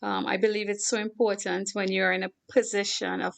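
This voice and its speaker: A middle-aged woman from Trinidad and Tobago with a Trinidadian accent, talking earnestly and in a measured way as a show guest. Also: medium pitch, flat delivery, vocal fry. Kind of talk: conversational interview talk.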